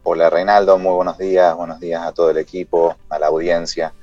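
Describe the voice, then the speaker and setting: Male interview guest, deep and halting, explanatory, on a dull dry connection with faint music. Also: flat delivery, darkish tone, no echo. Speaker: interview guest, company CEO.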